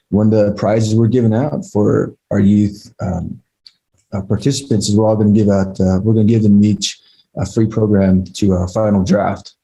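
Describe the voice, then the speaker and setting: A man from Utah speaking informally as a guest at an event, in broken phrases with pauses. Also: low-pitched, creaky, warm tone, husky, rough recording. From Navajo Nation, Utah, United States. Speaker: co-founder giving thanks as guest speaker. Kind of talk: informal, heartfelt remarks.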